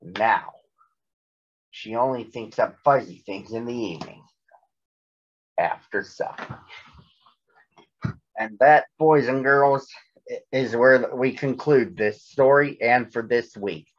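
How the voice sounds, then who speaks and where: A man's voice: medium pitch, slow, long pauses. A man in his thirties reading a story aloud for children, recorded at home in a small room. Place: small bedroom at home, indoors. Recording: slightly dull, muffled home recording.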